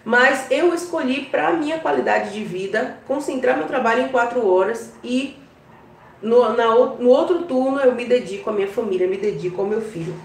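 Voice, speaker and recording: A woman with a Bahian Portuguese accent giving casual advice to her viewers, often checking in with a quick "tá?". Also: on the high side, gravelly, roomy sound, distant mic, a little hiss or hum, low-quality recording. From Brazil. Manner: chatty, keeps adding "tá?" to check in with viewers. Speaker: online business vlogger.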